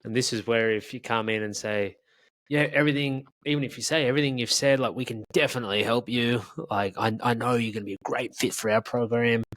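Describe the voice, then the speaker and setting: A man co-hosting a casual podcast chat about sales calls, blunt and quick to agree. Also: medium pitch, fairly even intonation, fairly bright tone, no echo. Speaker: sales coach co-host.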